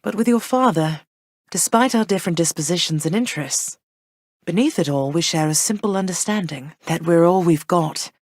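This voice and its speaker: A woman voicing a gentle, thoughtful goddess queen and mother for a video game, speaking in a soft, measured, slightly formal way. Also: lowish voice, no echo, studio quality, natural voice. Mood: tender. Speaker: voice actress for a video game character. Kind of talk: recorded game voice lines.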